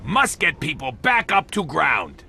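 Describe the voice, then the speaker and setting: An actor playing a slow-witted, earnest, clumsy superhero clone in a cartoon video game, talking in broken caveman grammar with childlike earnestness. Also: a little high, huge pitch swings, gravelly, very noisy, full character voice. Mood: earnest, determined.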